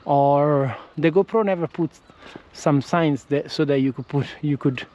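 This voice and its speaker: A man's voice: fairly high pitch, measured pace, mellow, highs cut off, a little hiss or hum. A man with an Italian accent, a non-native English speaker, vlogging casually while walking outdoors, often restarting and correcting himself. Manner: hesitant, frequent restarts and self-corrections. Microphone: action camera with windscreen.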